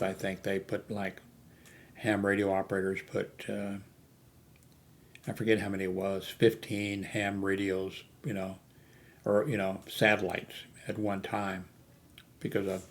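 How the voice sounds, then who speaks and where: A man with a low voice and a flat, even delivery talks casually as a hobbyist vlogger. He rambles without a script, halting and searching for his next thought. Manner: rambling, halting, often losing his thread. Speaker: amateur radio hobbyist hosting a video channel. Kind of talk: casual unscripted vlog talk.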